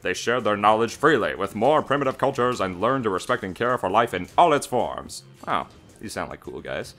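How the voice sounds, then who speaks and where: Man, deep voice, gaming host, casual banter, reading aloud. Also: animated, moderate pace, a bit bright.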